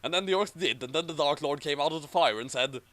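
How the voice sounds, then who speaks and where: A man's voice: fairly high pitch, quick pace, a bit bright. A man reading an amateur story aloud and adding mocking commentary. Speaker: reader and commentator.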